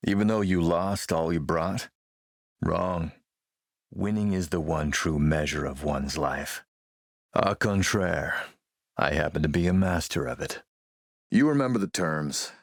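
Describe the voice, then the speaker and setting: An actor playing a ruthless middle-aged warlord in a video game, speaking in a measured, courtly, menacing way. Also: male voice, sits low, dry recording, clean studio sound, full character voice. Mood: cool, smug, then businesslike menace. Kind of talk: video game character voice acting.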